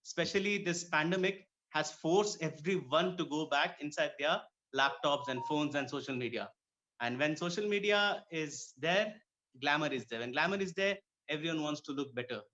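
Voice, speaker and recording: The recording is slightly dull and low in fidelity. A man from Kuwait speaks in a somewhat high voice. He answers conversationally, as a medical guest in an interview. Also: moderate pace, bright, forceful tone. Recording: dull lo-fi audio.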